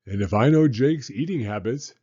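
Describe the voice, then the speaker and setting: A man's voice: medium pitch, lively intonation, moderate pace, warm tone, slightly rough, muffled recording. A man voicing a patient, encouraging father in a storybook reading. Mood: playful.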